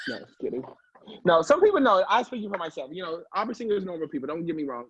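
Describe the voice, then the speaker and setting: Husky, higher-voiced man chatting. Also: no room sound, dull audio. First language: English. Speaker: opera singer, interview guest. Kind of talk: casual interview conversation.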